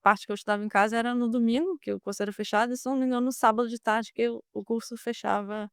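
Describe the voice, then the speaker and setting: A young woman with a Brazilian Portuguese accent answers interview questions in a smooth, clear voice. She talks earnestly, with many fillers and restarts. The sound is dry and close. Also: fairly high pitch, steady tone, racing, no echo. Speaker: guest, former student now a merchant navy officer.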